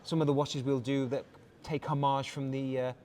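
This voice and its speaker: English man pitching watches. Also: level tone, moderate pace, a little mellow, slight background noise. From England. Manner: enthusiastic, polished salesman patter with frequent "uh" fillers. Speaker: brand director. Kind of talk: product walkthrough interview. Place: busy watch showroom event.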